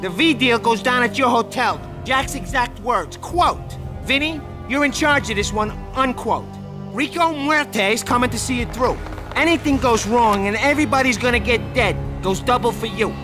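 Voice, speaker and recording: A gangster with a strikingly high voice. He is bossy and menacing, handing out orders in blunt street slang, with music clearly audible behind him. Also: normal speed. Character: bossy, menacing male gangland underling giving orders.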